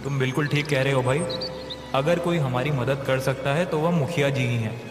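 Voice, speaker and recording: A man's voice: medium pitch, moderate pace, close to an everyday voice. A man voicing a distressed, respectful village man in a cartoon, earnest and pleading. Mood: troubled. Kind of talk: Hindi moral story cartoon dub. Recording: echoey reverb with clear background music.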